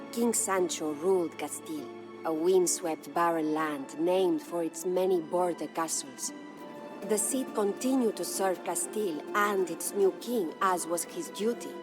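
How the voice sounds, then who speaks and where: A proud, mourning Spanish noblewoman voiced by an actress tells a dramatic game story. Her voice sits on the low side, with formal, measured and solemn phrasing. Music plays clearly behind her. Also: close to an everyday voice. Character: proud grieving noble Spanish widow, lady of a castle. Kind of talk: dramatic scripted storytelling narration.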